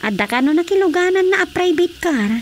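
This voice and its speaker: A woman's voice: very high-pitched, lively intonation, fast talker, a little hiss or hum. A woman playing a chatty, teasing village daughter in a Filipino radio drama comedy, speaking expressively.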